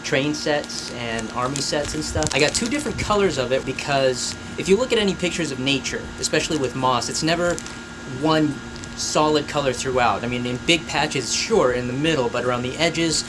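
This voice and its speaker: A man's voice: medium pitch, moderate pace, background noise. A man hosting a hobby craft video, chatting informally and explaining things in a self-deprecating way. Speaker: host of a craft and painting video. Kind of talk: casual chat, explaining a hobby project.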